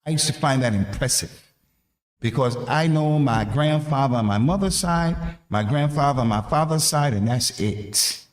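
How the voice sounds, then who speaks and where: A man's voice: mid-range voice, animated, moderate pace. A man with an American accent giving an informal sermon, emphatic and colloquial, repeating key phrases and posing rhetorical questions. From North America. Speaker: preacher giving a religious reminder to youth. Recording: echoey room.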